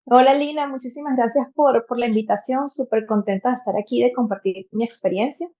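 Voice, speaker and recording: A woman's voice: fairly high pitch, fairly quick, warm tone, lo-fi sound. A Venezuelan woman in her thirties, a podcast guest telling a personal story earnestly, with many fillers and restarts. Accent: Venezuelan Spanish.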